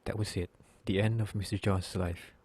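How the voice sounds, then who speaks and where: Low-voiced man narrating a script. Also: fairly even intonation, normal speed, a little mellow, husky.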